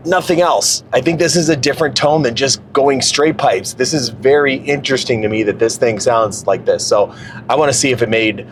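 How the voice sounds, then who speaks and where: A man's voice: medium pitch, noisy background. A man hosting a car tuning video, explaining in a casual, matter-of-fact, conversational way. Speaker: car tuner and video host.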